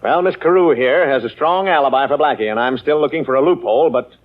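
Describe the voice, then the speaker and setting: A man playing a gruff, exasperated police inspector. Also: medium pitch, fast talker, lightly acted. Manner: blunt, impatient, sarcastic. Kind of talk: 1940s radio acting. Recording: dull old radio recording.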